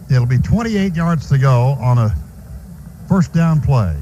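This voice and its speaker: A man's voice: medium pitch, animated, slow, muffled recording. A man with an American accent calling a football game live as a radio play-by-play announcer. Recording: noisy live stadium radio broadcast. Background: loud stadium ambience.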